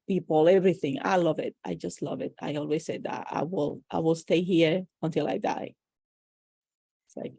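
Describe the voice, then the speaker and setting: A middle-aged woman with a Dominican Spanish accent, a native Spanish speaker answering casually and haltingly as a podcast guest. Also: lowish voice, dark tone, dry recording. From Dominican Republic.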